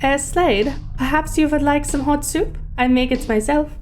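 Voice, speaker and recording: A woman playing a gentle, earnest young woman in a motion comic, hesitant and polite. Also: high-pitched, normal speed, slight background noise, close to an everyday voice. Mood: kindly. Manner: soft-hearted, hesitant, polite.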